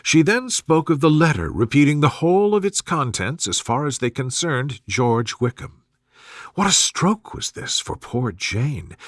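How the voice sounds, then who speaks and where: A man narrating a classic sleep story in a soothing, measured and formal literary reading style. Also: a little high, dry recording, clean studio sound.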